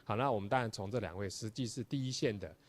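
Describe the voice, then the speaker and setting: A man's voice: on the low side, fast. A man introducing guest speakers to an audience in a formal, filler-heavy way.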